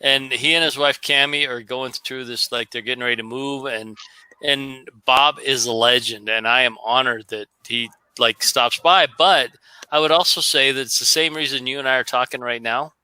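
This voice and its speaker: Bright smooth-voiced male streamer. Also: medium pitch, fairly even intonation, normal speed, dry recording, poor audio. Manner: earnest, talks to chat. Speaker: streamer host. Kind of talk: casual livestream chat.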